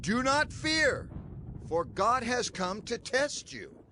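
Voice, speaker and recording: A man's voice: very high-pitched, sing-song, takes it slowly, background noise, heavily acted. A man playing an earnest, urgent prophet leading his people in dramatized scripture audio, with emphatic, declamatory delivery. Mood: urgent reassurance. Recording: music under the voice.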